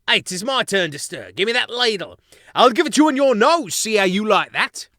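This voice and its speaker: A man doing squeaky bickering mouse cooks. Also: sing-song, normal speed, pushed and bright, no room sound, studio quality, heavily acted. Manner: squeaky, rowdy, working-class banter. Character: bickering young mouse sailor cooks, cheeky.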